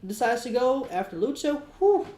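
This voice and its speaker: A man co-hosting a casual podcast, talking in an excitable, animated way and swearing casually. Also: very high voice, moderate pace, reverberant. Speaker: podcast co-host.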